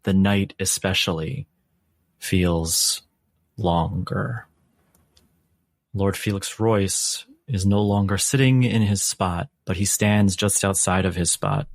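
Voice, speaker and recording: A man narrating a tabletop game as game master, with dramatic, suspenseful and deliberate phrasing. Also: sits low, dry recording.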